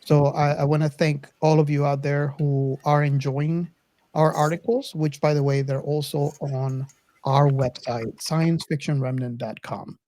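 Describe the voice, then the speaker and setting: A podcast host talking casually to listeners and co-hosts, haltingly and searching for words. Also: medium pitch, steady tone, dark tone, no room sound.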